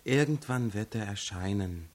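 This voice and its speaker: An actor playing a practical, calm young man in a radio crime drama, speaking matter-of-factly. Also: on the low side, unhurried.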